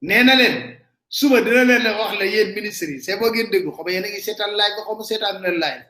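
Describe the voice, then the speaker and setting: A man's voice: high voice, fairly quick, sharp tone, echoey room. A man with a Senegalese accent giving emphatic, casual live political commentary straight to his viewers. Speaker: online commentator.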